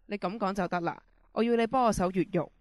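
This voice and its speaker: A woman's voice: mid-range voice, fast, no echo, close to an everyday voice. A woman acting a scheming, desperate middle-aged prisoner in an amateur radio drama, commanding and manipulative. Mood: commanding, threatening. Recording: radio drama with music underneath.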